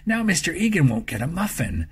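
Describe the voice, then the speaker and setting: A man voicing an earnest, dismayed young girl in a children's storybook, exclamatory and expressive, with a husky voice. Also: on the high side, fairly quick, exaggerated voice. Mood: disappointed.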